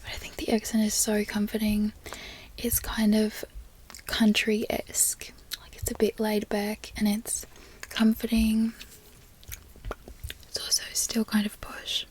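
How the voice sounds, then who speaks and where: A young woman from Florida whispering softly in a chatty, rambling way, as a relaxed ASMR talk. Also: mid-range voice, takes it slowly, pauses often. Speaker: ASMR creator.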